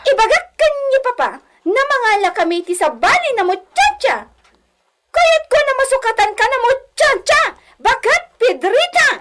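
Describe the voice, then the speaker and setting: A woman's voice: extremely high pitch, very animated, normal speed, heavy background noise. A woman voicing a spoiled, haughty young rich daughter in a radio comedy drama, whiny and demanding.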